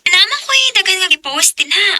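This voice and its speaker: A woman's voice: extremely high pitch, lively intonation, fairly quick, background noise. A woman playing a coy, sweet, teasing young girlfriend in a Cebuano radio drama, with a Cebuano accent. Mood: coy, pouting.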